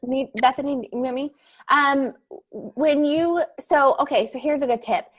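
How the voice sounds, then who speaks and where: A woman talking casually and excitedly about podcasting, laughing often and running sentences together. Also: sits high. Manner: bubbly, laughs often, fast run-on sentences. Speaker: podcaster guest. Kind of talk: casual panel chat.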